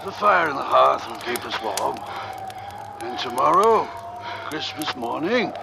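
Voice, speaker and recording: An actor in an old-time radio drama playing a failing, drowsy sea captain, speaking in faint, dreamy, halting phrases. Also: male voice, mid-range voice, animated, gravelly. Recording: vintage radio recording, very heavy noise.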